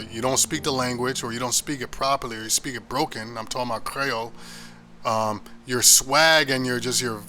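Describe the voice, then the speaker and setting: An American man teaches scripture in a loose, conversational way, pressing his points with emphasis and often stopping to restart a sentence. His voice is bright and forceful, sitting at an ordinary pitch for a man. Soft music plays faintly behind him. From United States. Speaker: Bible teacher. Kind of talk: informal Bible lesson.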